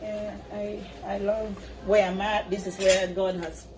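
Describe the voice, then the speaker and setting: A middle-aged woman from Sierra Leone who works as a hairstylist talks in a casual, emphatic way and repeats words to stress them. Her pitch is mid-range for a woman. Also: level tone, unhurried, a crowd in the background. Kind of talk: casual chat.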